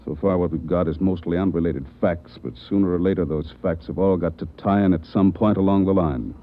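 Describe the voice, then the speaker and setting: A man playing a brisk, commanding police chief. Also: deep voice, fairly even intonation, muffled recording, a little hiss or hum, lightly acted. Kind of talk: 1940s radio acting. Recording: old radio broadcast, band-limited.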